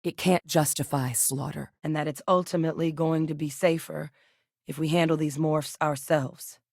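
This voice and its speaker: An actress playing a grave, dedicated woman scientist in a space-faring military, speaking in a measured, articulate way as video game dialogue. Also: sits low, fairly even intonation, creaky, darkish tone, dry recording, studio quality. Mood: explanatory, sober.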